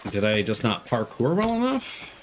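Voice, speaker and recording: A man's voice: mid-range voice, wildly swooping pitch, moderate pace, slight background noise. A man live-streaming a video game, giving casual commentary and thinking aloud in short, unscripted remarks.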